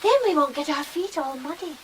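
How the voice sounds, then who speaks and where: A woman acting an eager, chatty young girl in a period radio drama, childlike, excitable and outspoken. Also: high-pitched, fairly quick, a little hiss or hum, lightly acted.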